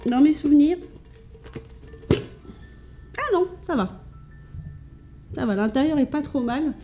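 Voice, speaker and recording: A woman's voice: sits high, lively intonation, takes it slowly, dark tone, muffled recording, soft background music. A woman from France chatting casually to camera at home, showing her thrift finds with many fillers and restarts. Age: adult with children.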